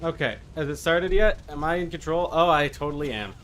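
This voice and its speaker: A man's voice: a little high, lively intonation, brisk, a bit bright. A man doing casual live game-stream commentary, muttering to himself and repeating his phrases. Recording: noticeable background noise.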